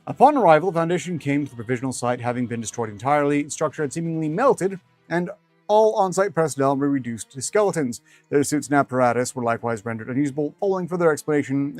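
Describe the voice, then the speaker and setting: A man's voice: medium pitch, a little mellow, dry recording. A man reading fiction aloud as a streamer, with dry, rambling asides in formal wording. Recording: music behind the voice.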